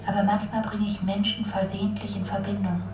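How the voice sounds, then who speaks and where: A woman's voice: mid-range voice, flat delivery, a little fast, natural voice, rough recording. An actress playing a solitary, introspective woman in a restrained radio play monologue. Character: introspective solitary woman recalling memory and family.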